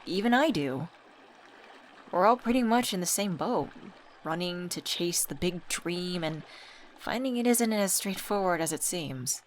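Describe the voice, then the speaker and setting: A young woman , acted by a voice actress, speaks in a slightly low register as a supportive childhood friend. She is casual and intimate, comforting with a light teasing edge, in the manner of a scripted ASMR audio drama. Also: animated, unhurried, a little hiss or hum. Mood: reassuring. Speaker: voice actor. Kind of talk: scripted ASMR roleplay, intimate conversational acting.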